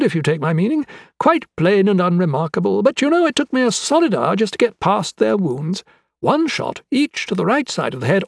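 Excitable, high-pitched eccentric anatomist. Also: male voice, creaky, dry recording, studio quality, full character voice. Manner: chatty, effusive, relishing grisly detail. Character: cheerful eccentric anatomist, youthful, macabre, gleefully excitable man.

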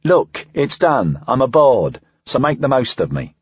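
Audiobook deputy, man acting: cheeky, loyal, informal, higher-pitched delivery with lively swings. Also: measured pace, breathy edge, no echo, dull audio. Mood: cheeky, insistent. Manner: informal, wry, insistent. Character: brash, loyal male deputy officer, teasing and cheeky. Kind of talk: audiobook character dialogue.

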